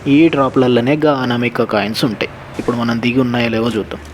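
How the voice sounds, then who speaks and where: A man's voice: mid-range voice, quick pace, slight background noise. A young man with a Telangana Telugu accent giving casual, chummy gaming tips, calling the viewer buddy. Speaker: gaming YouTuber.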